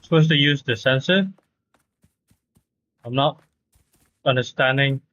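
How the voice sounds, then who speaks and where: A man's voice: medium pitch, a little slow, a bit bright, dull audio. A man chatting casually as he livestreams a video game. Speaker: live streamer.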